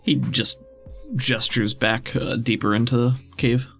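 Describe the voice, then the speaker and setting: Man, game master, gravelly edge, improvised scene narration, faint music behind. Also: medium pitch, level tone, measured pace, a bit bright.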